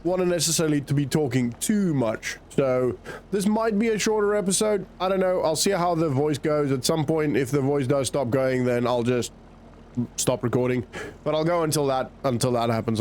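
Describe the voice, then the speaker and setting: Croaky, nasal-voiced man with a cold. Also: fairly high pitch, darkish tone, slight background noise. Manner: chatty, thinks aloud while playing. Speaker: gaming channel host. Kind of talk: casual gameplay commentary.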